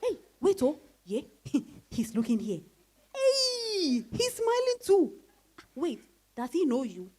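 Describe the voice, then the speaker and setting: A woman's voice: high voice, huge pitch swings, slow pace, a little mellow, heavily acted. An actress with a Ghanaian accent playing a realistic, frank woman friend in a dramatized reading, emphatic and sisterly in her chiding. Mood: excited, curious.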